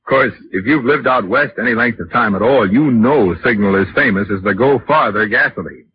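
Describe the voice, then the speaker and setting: A man's voice: medium pitch, brisk. A man delivering a persuasive, conversational 1940s radio commercial as an announcer. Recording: dull, faintly noisy vintage radio.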